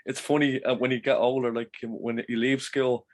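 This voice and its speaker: Brief: Irish male podcast host, mid-pitched, encouraging and chatty with guests. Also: flat delivery, moderate pace, a little hiss or hum. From Ireland. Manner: upbeat, encouraging, rambling questions. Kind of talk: casual interview.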